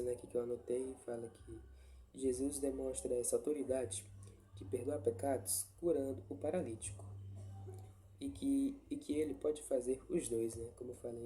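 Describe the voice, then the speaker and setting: A man talks through a Bible passage in a halting, informal way, often restarting and checking in with his listeners. His voice is very dark and mellow and sounds stuffy from a cold. Faint background noise sits under the recording. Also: medium pitch. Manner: halting, many restarts and tag questions. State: congested with a cold. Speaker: lay preacher sharing a Bible reflection. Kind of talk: informal devotional talk.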